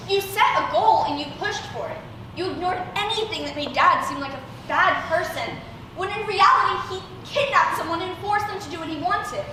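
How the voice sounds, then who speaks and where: A woman's voice: very high voice, moderate pace, bright, forceful tone. A woman playing a hurt, resentful but dutiful sibling, speaking earnestly and emotionally in an amateur stage drama. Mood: indignant. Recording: echoey room from far off, noisy.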